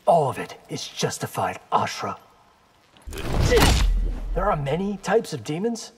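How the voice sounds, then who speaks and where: Young, animated, defiant fighter. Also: male voice, on the high side, unhurried, very noisy. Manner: sardonic, casual.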